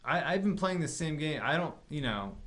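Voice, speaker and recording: A man's voice: on the high side, expressive, normal speed, a bit bright. A man co-hosting a gaming podcast, chatting casually with blunt opinions and plenty of swearing. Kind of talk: casual chat about video games.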